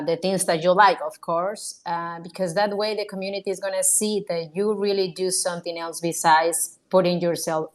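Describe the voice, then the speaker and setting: Lowish-voiced woman giving earnest advice. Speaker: guest speaker from the community. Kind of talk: informal advice to listeners.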